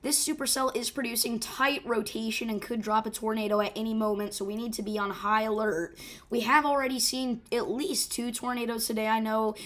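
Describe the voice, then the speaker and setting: A woman hosting a live severe weather stream, her voice hoarse and rough, talking urgently and informally with many fillers and restarts. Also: fairly high pitch, steady tone, fairly quick. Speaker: severe weather livestream host.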